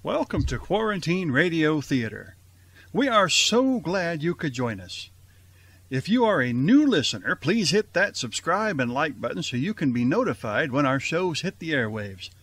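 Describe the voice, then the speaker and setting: A man's voice: fairly high pitch, very animated, moderate pace, a bit bright, breathy edge. A man hosting a radio theater show with a chatty, jokey, theatrical introduction.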